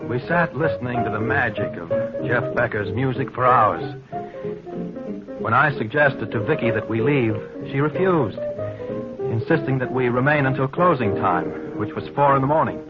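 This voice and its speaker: A man's voice: mid-range voice, expressive, moderate pace, lightly acted. An actor playing a troubled, possessive husband who narrates his story with formal, earnest diction in 1940s radio drama style. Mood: troubled, reflective. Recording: muffled vintage radio recording with music.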